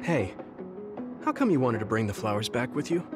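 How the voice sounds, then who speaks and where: A man's voice: mid-range voice, very animated, normal speed, music in the background, lightly acted. A man playing a kind, protective young soldier in an anime-style video game, speaking in a friendly, earnest, reassuring way. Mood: gentle, reassuring.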